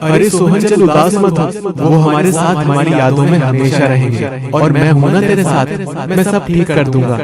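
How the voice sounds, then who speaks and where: A man voicing a kind, hardworking, self-sacrificing young shoe-shine boy in an animated moral story, speaking earnestly, politely and gently. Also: on the high side, normal speed, far from the mic, over a noisy crowd, close to an everyday voice. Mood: comforting. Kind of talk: scripted Hindi animated moral story acting.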